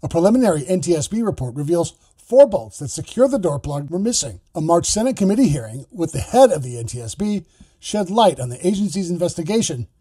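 A man hosting a news podcast, reading a scripted report in a measured, explanatory way. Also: fairly high pitch, big pitch swings, warm tone, breathy edge, dry recording.

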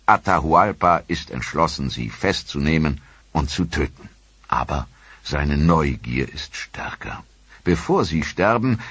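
A man narrating a history documentary in a dramatic, measured storytelling manner. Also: rumbling low, husky, no echo.

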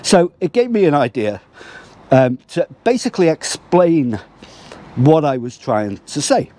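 A man's voice: fairly high pitch, very animated, a little slow, dark tone. An older man from Prescot with a Lancashire accent tinged with Liverpool, talking hesitantly to a close camera outdoors in a casual vlog. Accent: Lancashire with a slight Liverpool tinge. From Prescot, England. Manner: hesitant, many ums and restarts. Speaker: vlogger. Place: outdoors by a theatre at dusk. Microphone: camera close at arm's length.

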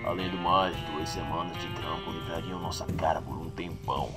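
A man voicing a gruff, weary old retired fighter in a comic-book dub, terse and grave, with a Brazilian accent. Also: low voice, fairly even intonation, normal speed, lightly acted. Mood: weary, subdued. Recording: music under the voice.

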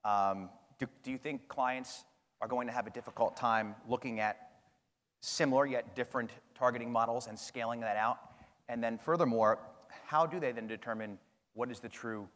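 A man's voice: medium pitch, normal speed, fairly bright tone. Man posing questions in a reverberant hall. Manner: asks long framing questions. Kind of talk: conference panel questions. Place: large conference hall stage. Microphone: lapel mic.

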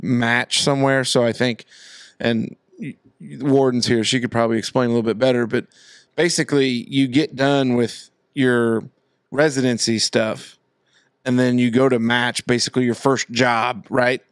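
A man's voice: medium pitch, steady tone, normal speed, clear, resonant tone, no echo. Podcast host man, dry studio sound. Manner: reads news aloud, then chats loosely. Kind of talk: casual chat.

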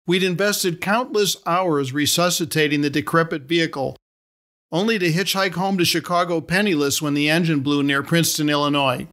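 A middle-aged man reading his own story aloud as a podcast host, in a measured, wry storytelling manner. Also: a little high, a bit bright. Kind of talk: scripted storytelling narration.

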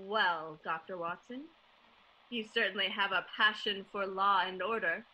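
A woman plays a poised hostess of a radio drama. She speaks slowly and invitingly in a bright, medium-pitched voice, measured like an announcer, with faint music behind. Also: fairly even intonation, low-quality recording. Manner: measured, scene-setting.

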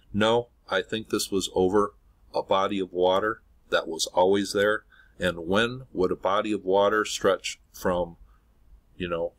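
Low-voiced man narrating a screen recording. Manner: earnest, speculative, says "obviously" often. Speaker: video host.

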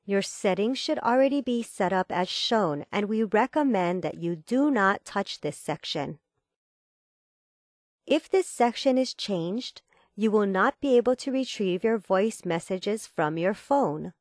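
A woman reading a scripted instructional voice-over in a measured, formal, step-by-step manner. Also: medium pitch, animated, dry recording, clean studio sound. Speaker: tutorial narrator.

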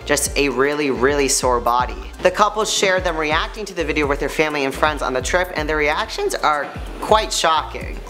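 A young man with a high-pitched voice hosting an upbeat, scripted news recap in a studio, chatty and playful. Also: sharp tone. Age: young adult. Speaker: host.

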